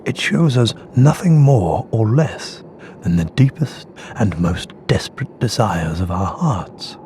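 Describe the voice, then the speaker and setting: A man voicing a wise, gentle old wizard headmaster in a video game, with a measured, grave, kindly manner. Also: on the low side, lively intonation, a little hiss or hum.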